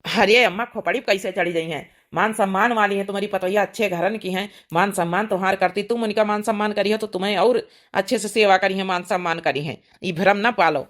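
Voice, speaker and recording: A woman's voice: medium pitch, quick pace, close to an everyday voice. A woman playing a protective young village son in an Awadhi cartoon comedy, speaking in a firm, admonishing manner.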